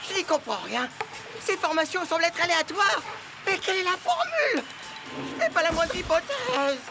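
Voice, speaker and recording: A woman voicing an eccentric, fussy cartoon genius inventor who talks to themselves theatrically, with loud music underneath. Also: very high-pitched, very animated, normal speed, heavily acted. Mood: bewildered. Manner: theatrical muttering and self-talk.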